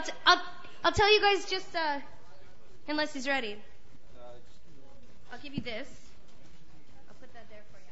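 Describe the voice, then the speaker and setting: A woman's voice: high-pitched, a bit bright. A woman guest-hosting an open mic, joking and rambling between acts in a chatty, self-mocking way. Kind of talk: casual joking stage banter. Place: small live venue on stage. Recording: dull lo-fi live recording.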